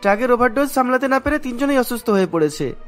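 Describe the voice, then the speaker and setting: Man voicing a high-voiced, brisk cartoon doctor. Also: lively intonation, faint music behind. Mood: serious, reassuring. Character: calm, serious doctor explaining to families. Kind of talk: cartoon voice acting.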